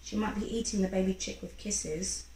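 A woman in her thirties reading a picture book to a young child in an expressive, encouraging way, pausing to ask questions. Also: medium pitch. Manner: expressive, encouraging, acting out sound effects. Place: small room at home, indoors. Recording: roomy home recording with faint background noise.

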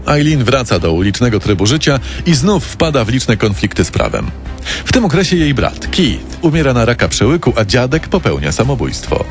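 A man narrating a scripted true-crime radio programme as a dramatic, present-tense storyteller. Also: medium pitch.

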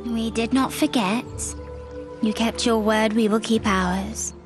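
Young elf lady in a fantasy game, kinda high voice, slow n earnest, music under it. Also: steady tone. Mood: solemn, gracious. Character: gracious, solemn young elf woman. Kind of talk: video game character acting.

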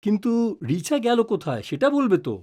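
A man's voice: high-pitched, very animated, brisk, a little mellow, slightly rough, exaggerated voice. Man acting a flustered middle-aged father, swooping intonation. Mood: gently placating. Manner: stammers and repeats words when flustered. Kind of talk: Bengali audio drama acting.

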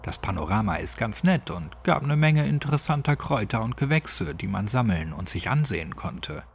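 A man's voice: fairly low pitch, expressive, normal speed, slight background noise. A man voicing a cynical, grumbling old village man in clipped, colloquial speech for a horror audiobook. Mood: wry reminiscing. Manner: colloquial, clipped, gruffly chatty. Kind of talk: horror audiobook character dialogue.

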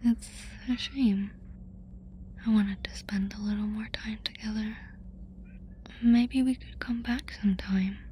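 An affectionate alien girl, acted by a woman whispers in a dark, mellow tone for an ASMR roleplay. She is casual, playful and coaxing, soothing her human captive, with faint ambient noise behind her. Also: medium pitch, fairly even intonation, a little slow, pauses often, lightly acted.

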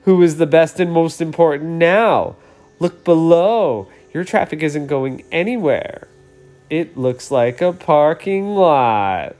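A man's voice: on the high side, lively intonation, takes it slowly, a little mellow, voice pushed up. A man voicing a vain, gloating female traffic light in a children's storybook.

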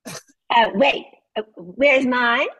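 A woman's voice: fairly high pitch, extremely slow, a bit bright, husky, dull audio, full character voice. A woman voicing a grasping, suspicious older woman who complains that everyone cheats her, read over a video call. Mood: indignant. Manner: complaining, aggrieved. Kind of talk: Zoom play reading.

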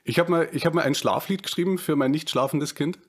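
A man in his thirties with a Bavarian accent, hosting a casual podcast interview over a video call on a headset, talking slowly with many fillers and restarts. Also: on the high side. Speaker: podcast host. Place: home room, video call.

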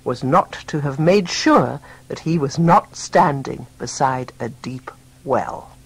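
Husky, expressive woman storyteller. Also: a bass voice, takes it slowly, rough recording. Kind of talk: scripted story narration.

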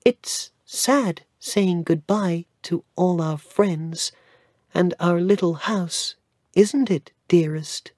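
A man's voice: high-pitched, slow, dry recording, studio quality, pitched above the performer's own voice. A man doing a sweet earnest little boy. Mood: wistful. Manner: bright, childlike and wondering. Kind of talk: children's audiobook reading.

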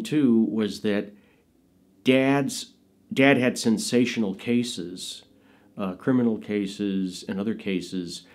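Man, fifties, American accent, fairly low pitch, conversational storytelling, interview setting. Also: darkish tone. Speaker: interviewee, actor and filmmaker recalling his father. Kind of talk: casual anecdotal storytelling in an interview. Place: small indoor studio with dark curtains.

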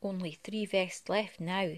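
A woman's voice: mid-range voice, fairly even intonation, slow, close to an everyday voice. A woman voicing a small, generous male robin in a storybook, speaking simply and earnestly to himself. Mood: earnest, thoughtful.

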